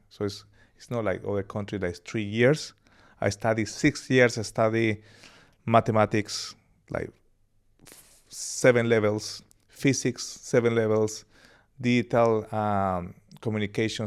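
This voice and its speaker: A man in his thirties from Colombia with a Colombian accent and Spanish as his first language, telling his life story as a podcast guest in a relaxed, rambling way. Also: on the low side, unhurried, clear, resonant tone. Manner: relaxed, laughs easily, rambling anecdotes. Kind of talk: casual interview storytelling.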